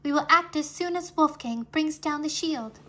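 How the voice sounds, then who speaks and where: A woman reads a determined girl dragon master in a fantasy storybook. Her voice is lifted high, firm and urgent. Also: moderate pace, pushed and bright. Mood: resolute.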